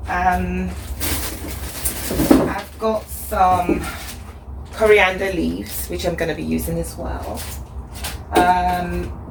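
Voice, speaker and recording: A woman vlogging casually as she cooks at home. Her voice is husky from the flu, and she talks chattily with plenty of fillers. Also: on the low side. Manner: chatty, upbeat, thinks aloud with fillers. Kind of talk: casual cooking vlog. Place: home kitchen. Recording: echoey room, faint background noise.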